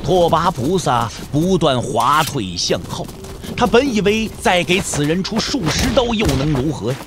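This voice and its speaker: A man's voice: a little high, sing-song, unhurried, noisy background. A man narrating a martial arts novel as a scripted audio drama, with an emphatic, suspenseful storytelling delivery. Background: background music.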